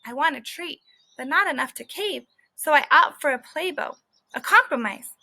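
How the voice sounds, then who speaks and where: A woman's voice: high-pitched, lively intonation, normal speed, bright, forceful tone, full character voice, low-quality recording. A woman voicing a cocky, stubborn stray dog who tells his story in sassy, colloquial children's storybook style. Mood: grumbling, wry. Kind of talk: children's book read-aloud.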